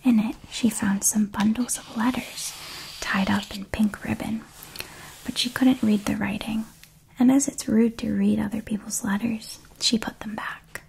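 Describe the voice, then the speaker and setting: Woman, whispered ASMR storytelling, very slow, gravelly texture, calm. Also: fairly high pitch, slight background noise, poor audio. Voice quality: soft whisper.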